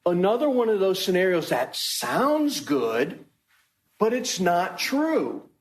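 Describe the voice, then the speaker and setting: A male prosecutor with a high voice gives a closing argument in a roomy, echoing courtroom. He speaks slowly and emphatically, hammering points home through repetition. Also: big pitch swings. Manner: emphatic, deliberate, repetitive rhetoric. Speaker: prosecutor addressing a jury. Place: indoor courtroom. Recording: echoey courtroom recording.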